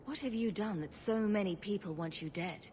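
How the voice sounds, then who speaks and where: A woman's voice: mid-range voice, moderate pace, dark tone, a little hiss or hum, natural voice. An actress playing a cool, dry-witted female mercenary in a video game cutscene, terse and confident, with background ambience. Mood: firm.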